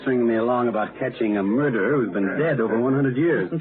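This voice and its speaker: An actor playing a suave, playful gentleman detective in 1930s radio mystery style. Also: mid-range voice, quick pace, phone-quality sound, crowd noise, lightly acted. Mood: teasing, curious. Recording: noisy, band-limited 1930s radio recording.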